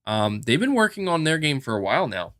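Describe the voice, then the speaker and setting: A man's voice: a little high, big pitch swings, brisk, a bit bright, no room sound, clean studio sound. A man hosting a gaming podcast, talking casually and emphatically and repeating words to drive his points home. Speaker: podcast host. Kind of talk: casual gaming commentary, answering chat comments.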